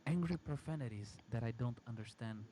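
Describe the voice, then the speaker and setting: A man reading a first-person horror story aloud in a halting, dramatic way. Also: medium pitch, dark tone, slight background noise. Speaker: amateur story reader.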